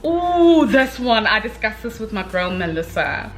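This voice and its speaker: A woman giving a casual book review in an expressive, slangy way, talking to viewers like girlfriends with emphatic check-ins. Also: fairly high pitch, measured pace, faint music behind.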